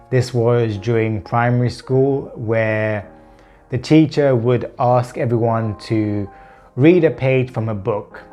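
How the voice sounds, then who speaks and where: A man in his thirties speaks openly to the camera, his sentences broken by repeated words and blocks that he leaves in. His pitch sits in an ordinary middle range. Faint music plays behind him. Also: darkish tone. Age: 30s. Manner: earnest, open, conversational. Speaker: vlogger. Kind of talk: personal vlog talking to camera.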